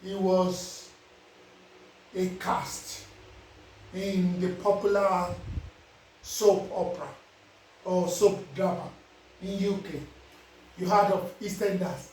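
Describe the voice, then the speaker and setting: A man's voice: high voice, little pitch movement, slow pace, long pauses, a little mellow, recorded from a distance, a little hiss or hum. A man with a Nigerian accent, giving casual life advice to his viewers and often asking if they understand. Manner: preachy, repeats "you understand?". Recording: very echoey, voice away from the mic.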